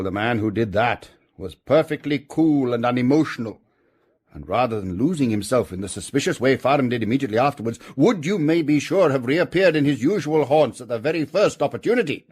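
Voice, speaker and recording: Measured, husky police chief constable. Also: male voice, mid-range voice. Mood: considered, assured. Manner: formal, articulate, deliberate. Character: senior police chief constable, confident, measured, reasoning theorist. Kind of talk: British detective radio drama acting.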